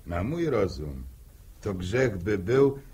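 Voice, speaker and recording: An easygoing older farmer in a 1960s radio drama. He talks slowly in rustic phrases, his husky voice rising and falling freely. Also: on the low side, very animated, a little mellow, full character voice. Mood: relaxed, folksy. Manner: unhurried, rustic folksy phrasing. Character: easygoing older village farmer, amateur bandsman and father. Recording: old 1960 radio drama recording.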